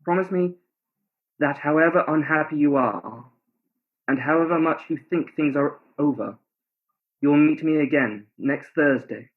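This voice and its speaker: An actor playing a tender, ardent, pleading lover in a radio play, earnest and romantic in delivery. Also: male voice, a little high, measured pace. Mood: earnest, gentle. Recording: thin phone-like sound.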